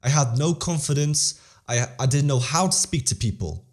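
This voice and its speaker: A man's voice: medium pitch, animated, normal speed. A teenage boy, an interview guest, talking earnestly and motivationally in a casual way, often repeating phrases and restarting sentences. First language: Polish-English bilingual, English stronger. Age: teens.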